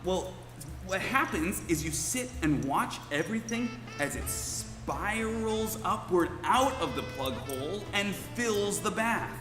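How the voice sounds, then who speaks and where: An actor playing an eccentric, flustered know-it-all in a comic stage radio play, halting, insistent and repeating himself. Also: male voice, high-pitched, animated. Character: eccentric, flustered male know-it-all explaining things badly. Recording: echoey hall with music behind.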